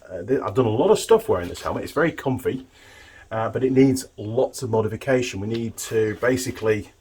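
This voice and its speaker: A man's voice: lowish voice, darkish tone, slightly rough. A man talking casually to viewers as he works with his hands, thinking aloud like a mechanic hosting a workshop vlog. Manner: chatty, informal, talking to the viewer while working. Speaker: motorbike mechanic and video host.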